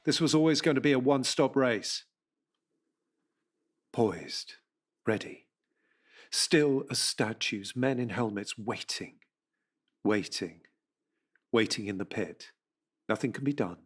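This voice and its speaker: A man's voice: mid-range voice, slow, long pauses, breathy edge. A man giving scripted dramatic narration in an intense, rhythmic way. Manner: intense, rhythmic, second-person at times.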